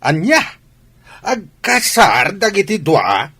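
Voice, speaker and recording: Gruff older Ilocano father, indignant, wildly swinging pitch, exclamatory, radio comedy. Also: wildly swooping pitch, slow pace, bright, forceful tone, heavily acted. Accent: Filipino, Ilocano. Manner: exclamatory, incredulous.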